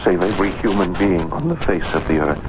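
An actor playing a desperate, obsessed writer in a 1970s radio drama, speaking with urgent intensity. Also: male voice, sits low, expressive, brisk. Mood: grim, urgent. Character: troubled writer, fearful, desperate, obsessed, grown man. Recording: muffled vintage radio recording, faint hiss.

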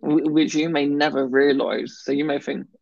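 A man chatting casually in a flat, gravelly voice, stammering and restarting on certain words. The sound is dry and a little dull, with no room in it. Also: on the high side, moderate pace, no room sound. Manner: stammers, blocks on some words, many restarts. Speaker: guest talking about his stammer. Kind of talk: casual chat.

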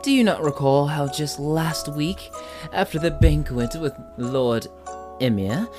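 Man acting a slow, sly, flamboyant tempter. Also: on the high side, music in the background, close to an everyday voice. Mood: coaxing. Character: sly, teasing, mischievous courtly tempter. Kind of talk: theatrical fantasy audio drama acting.

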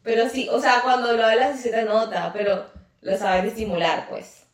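A woman's voice: on the high side, steady tone, normal speed, distant mic. A woman with a Colombian Caribbean coast accent, co-hosting a casual podcast chat, laughing often and teasing. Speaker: podcast co-host. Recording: echoey room, off-mic.

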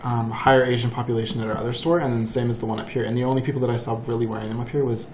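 A man's voice: medium pitch, fairly even intonation, racing, a little mellow. A man co-hosting a podcast, telling casual anecdotes in a chatty group conversation. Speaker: podcast co-host. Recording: roomy echo, audible background noise.

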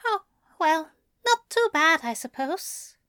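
Very high, prim, flustered angel played by a woman. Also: very animated, slow pace, sharp tone, dry recording, clean studio sound, exaggerated voice. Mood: grumbling, reluctant. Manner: prim, hesitant, apologetic, polite. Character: fussy, flustered, self-deprecating angel man, a reluctant yoga pupil. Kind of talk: audio fiction character acting.

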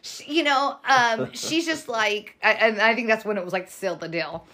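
Bright raspy young woman, podcast host. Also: a little high, moderate pace. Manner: animated storytelling, swears freely. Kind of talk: casual podcast chat.

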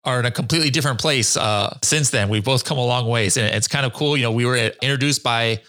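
A man's voice: mid-range voice, fast, pushed and bright. A man hosting a podcast interview, friendly and chatty, who drops jokey asides between questions. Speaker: podcast host.

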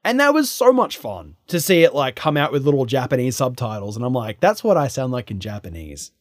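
A young man co-hosting a card game podcast, chatty with quick asides and frequent laughter. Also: mid-range voice, big pitch swings, no room sound, studio quality. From Australia. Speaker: podcast co-host. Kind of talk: casual chat.

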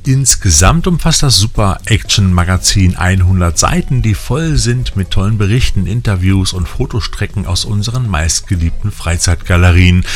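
A man hosting a scripted magazine-style show, narrating with colloquial, enthusiastic fan patter. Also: deep voice. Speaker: podcast host. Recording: music playing behind the voice. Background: music bed.

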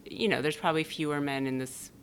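A woman with a notably low-pitched voice talks as a podcast guest. She rambles in a lively, casual way, full of fillers and restarts. A faint low rumble hums behind her. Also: fast. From born in Denmark, raised in California. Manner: animated, rambling, with many fillers and laughs. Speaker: guest, activist and performance artist. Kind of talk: casual conversational interview.

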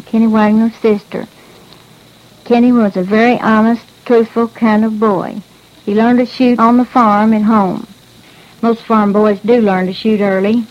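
A woman giving a plain personal account as a witness on an old radio program. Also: fairly high pitch. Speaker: relative giving testimony. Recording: dull old radio recording.

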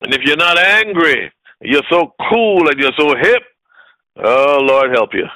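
A man's voice: a little high, animated, unhurried, bright, forceful tone, no echo, poor audio. A man preaching a fervent sermon, emphatic and repeating short phrases for effect.

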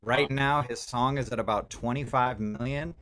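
A man's voice: medium pitch, steady tone, moderate pace, a bit bright. Chatty man on a podcast, background noise. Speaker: guest music producer. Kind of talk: casual podcast chat.

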